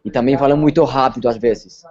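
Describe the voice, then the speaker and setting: A man from Colombia speaks Portuguese with a Spanish accent, answering questions in a relaxed interview. His voice is mellow and dark, and he pauses and repeats words while he hunts for the right phrase. The recording sounds a little dull. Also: mid-range voice, dry recording. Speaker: interview guest. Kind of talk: casual interview chat.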